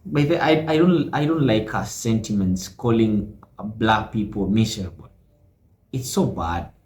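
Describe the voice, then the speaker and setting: A man's voice: fairly low pitch, a little slow, darkish tone, reverberant. A man hosting a reaction video, commenting in emphatic, preachy asides.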